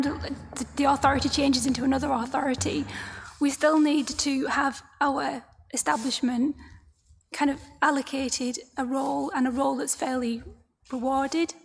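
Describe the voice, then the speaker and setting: A woman council officer with a croaky voice, explaining a report at a formal meeting, hesitant, with many fillers and restarts. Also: high-pitched, long reverb tail.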